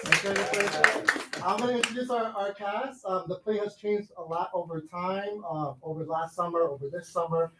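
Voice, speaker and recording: A man's voice: sits high, normal speed. A man speaking as a playwright at a live staged reading, giving introductions and narrating stage directions. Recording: echoey room with background noise.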